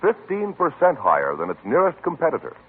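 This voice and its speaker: A man's voice: a little high, wildly swooping pitch, fairly quick, husky, narrow, tinny audio. A man announcing formally on an old radio broadcast with an emphatic, declamatory delivery.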